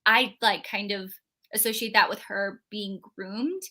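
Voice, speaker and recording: A woman's voice: mid-range voice, fairly even intonation, unhurried, pushed and bright. A woman co-hosting a live book discussion, talking casually and rambling with many fillers and restarts. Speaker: book club co-host.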